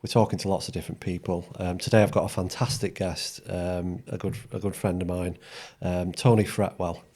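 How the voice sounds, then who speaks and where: A man's voice: sits low, steady tone, fairly quick, warm tone, slightly rough. A man from Yorkshire hosting a podcast interview, chatting casually and hesitantly with many ums and restarts. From Yorkshire, England. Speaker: podcast host.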